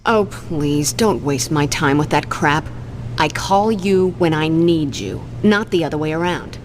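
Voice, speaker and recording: Low-voiced, imperious crime queen. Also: unhurried. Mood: scornful. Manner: haughty, scornful, commanding. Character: ruthless, contemptuous female crime boss, imperious and cruel. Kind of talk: video game cutscene acting. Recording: music behind.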